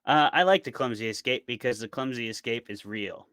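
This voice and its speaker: A man's voice: medium pitch, fairly quick, a bit bright, no echo, studio quality. A man talking informally as an interview guest, rambling casually through examples. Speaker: interview guest, author and podcaster. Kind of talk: casual chat about fiction.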